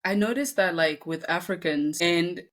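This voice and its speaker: A young woman hosts a relaxed podcast chat. Her voice sits a little low for a woman, and she talks expressively and informally into a close desk microphone in a small room. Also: normal speed. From Democratic Republic of Congo. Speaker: podcast host. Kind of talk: casual podcast conversation. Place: small home room, indoors. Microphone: large desk condenser microphone.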